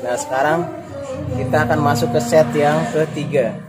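A man's voice: fairly high pitch, steady tone, moderate pace, background noise. A man gives a casual exercise tutorial to camera, often adding little check-in tags for viewers. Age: 20s. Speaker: fitness YouTuber. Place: outdoors in a narrow walled residential alley. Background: music.

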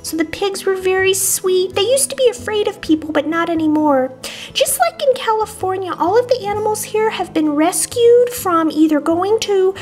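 Squeaky little lamb girl. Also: expressive, moderate pace, a little mellow, soft background music. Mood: sweet, excited. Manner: chirpy, childlike, giggly. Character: cute excitable little lamb girl plush toy. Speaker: vlog host. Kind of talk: voice-over narration of a puppet travel vlog.

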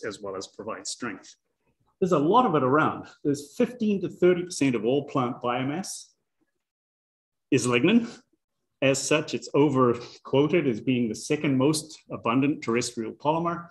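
A man in his sixties with a New Zealand accent gives a public science talk at a medium pitch. He makes dry, self-deprecating asides and chuckles at his own jokes. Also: poor audio. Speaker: guest lecturer, professor.

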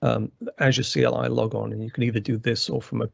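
A man with a gravelly voice presenting a technical webinar walkthrough, rambling with many fillers and restarts. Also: medium pitch, flat delivery, fast, dry recording. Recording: dry, slightly muffled webinar audio.

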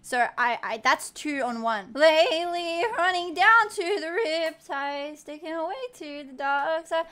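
A young Australian woman streaming a game from her home room into a boom mic, teasing a player with dramatic whispers, squeals and off-key singing. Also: a little slow, few pauses, sharp tone. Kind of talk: casual gaming chat with playful singing. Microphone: studio mic on a boom arm.

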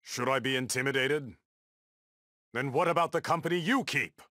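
A man's voice: medium pitch, moderate pace, sharp tone, no room sound, clean studio sound, natural voice. An actor playing a proud, stern undersea warrior king in a video game, with a regal, defiant, declamatory delivery. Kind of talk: video game battle dialogue.

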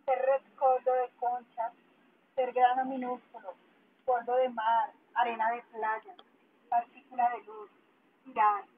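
Tinny, high-voiced woman reciting. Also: little pitch movement, a little slow. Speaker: poet reading in public. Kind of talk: poetry recital and announcing at a public event. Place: outdoor public park. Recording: tinny, band-limited megaphone sound outdoors.

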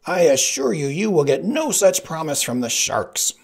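A man's voice: on the high side, very animated, normal speed, gravelly, pitched above the performer's own voice, heavily acted. A man voicing a conceited male kidnapper who makes boastful, menacing threats in a storybook. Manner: shrieking, gloating threats.

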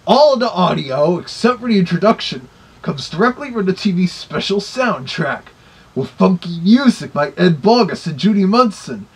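A man's voice: high voice, very animated, normal speed, breathy edge. A man giving casual review commentary in a small bedroom, picked up by a camera mic.

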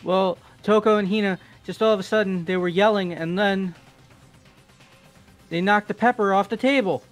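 Man doing a high-pitched, whiny slacker dude. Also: moderate pace, close to an everyday voice. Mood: flustered. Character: excitable, cowardly, dim young slacker guy. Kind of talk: video game character voice-over. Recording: music bed behind voice.

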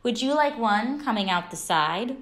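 A patient mother in a children's storybook, voiced by a woman reader. She sounds puzzled yet matter-of-fact, questioning with an animated, varied melody. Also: a little high, normal speed, voice pushed up. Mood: asking patiently.

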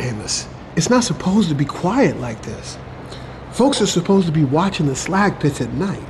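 An actor playing an uneasy, stubborn former pit worker in a post-apocalyptic audio drama, folksy and informal. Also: male voice, on the high side, a little mellow, slight background noise. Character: uneasy but stubborn male former slag-pit worker, plain-spoken.

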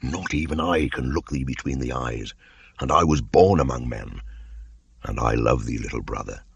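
Man voicing a very deep gravelly panther mentor. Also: normal speed, exaggerated voice. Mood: grave, tender. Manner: solemn, archaic storybook speech.

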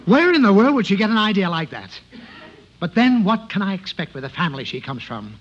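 A man's voice: sits high, sing-song, a little fast. An actor playing an exasperated, forgetful middle-aged father, sputtering and sarcastic, in a 1950s radio comedy. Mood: sarcastic, irritated. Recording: dull, slightly noisy old radio recording.

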